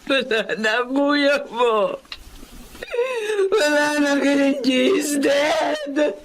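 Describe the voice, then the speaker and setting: A woman's voice: sits high, fairly even intonation, drawn-out words, crowd noise. A middle-aged woman with a Filipino accent playing an anguished, grieving mother of two sons in an emotional drama. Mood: sobbing, grieving. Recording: dramatic music bed behind.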